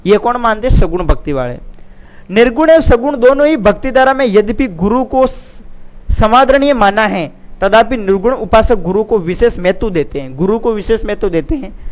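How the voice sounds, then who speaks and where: A man's voice: high voice, animated, normal speed. A man gives an exam lesson as an online coach. He alternates reading out answers with informal explanation. His manner is didactic, with repeated phrases and frequent checks on understanding.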